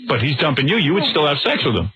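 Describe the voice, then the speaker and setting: Lively teasing male radio host. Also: fairly high pitch, fast talker, husky, no echo. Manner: teasing, needling questions. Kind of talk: radio talk show banter. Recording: muffled radio broadcast with crowd noise.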